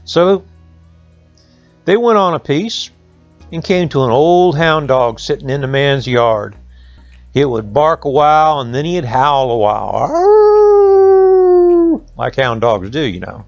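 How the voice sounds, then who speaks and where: A man's voice: mid-range voice, measured pace, no room sound, dull audio, soft background music. A man telling a folksy tale to children in colloquial dialect. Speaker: storyteller. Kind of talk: folksy oral storytelling for children.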